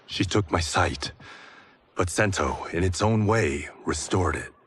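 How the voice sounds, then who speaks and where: A man playing a wounded, blinded swordsman in a video game, terse and subdued, with a gravelly voice. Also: sits low, expressive, a little slow, a bit bright, a little hiss or hum. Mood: subdued, bitter.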